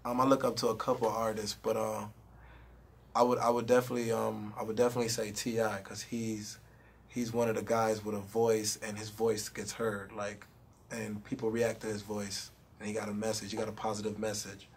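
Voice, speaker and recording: Husky laid-back young rapper. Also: male voice, fairly low pitch, little pitch movement, brisk. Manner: laid-back, repeats "you know what I mean". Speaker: rapper guest. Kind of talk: casual interview chat. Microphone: handheld mic.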